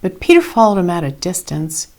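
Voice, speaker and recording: A woman with a fairly low voice narrates a scripture story. Her reading is measured and dramatic, with expressive emphasis on key phrases. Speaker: reader of scripture.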